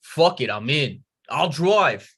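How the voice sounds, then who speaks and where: Laid-back wisecracking buddy, played by a man, higher male pitch, lively intonation, comedy script. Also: takes it slowly, breathy edge, full character voice. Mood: casual, wry. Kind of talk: comedy table read.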